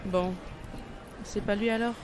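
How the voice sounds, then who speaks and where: A woman's voice: mid-range voice, steady tone, measured pace, noisy background, natural voice. A woman playing a composed, matter-of-fact police officer in an audio drama. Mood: businesslike. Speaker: voice actor.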